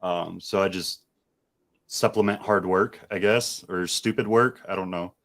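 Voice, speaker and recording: A man hosting a casual podcast, talking in a relaxed, rambling way with lots of fillers. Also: sits low, measured pace. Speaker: podcast host.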